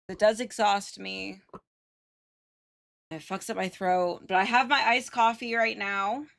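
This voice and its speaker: A woman's voice: on the low side, gravelly, a bit bright. A woman livestreamer chatting casually while gaming, informal and sweary.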